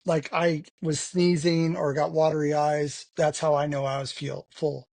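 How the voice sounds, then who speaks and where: A man's voice: on the high side, flat delivery, measured pace, gravelly, a little mellow, no echo. A man talking frankly as an interview guest, rambling with lots of "you know" fillers. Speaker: podcast guest. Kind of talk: candid conversational interview.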